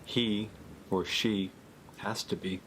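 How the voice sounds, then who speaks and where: A calm, world-weary exorcist priest played by a male actor speaks in a lowish voice. It sounds like a low-budget horror film, with clear background noise on the audio. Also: slow. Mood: calm, solemn.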